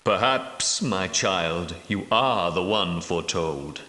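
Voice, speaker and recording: A solemn leader of holy knights for a video game. He speaks low and slowly, in grave, archaic, portentous phrases like a preacher. The voice rings with long reverb, as in a great echoing hall. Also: lively intonation, pushed and bright, heavily acted. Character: solemn, pious leader of ancient Grail knights. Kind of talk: scripted video game dialogue, archaic formal speech.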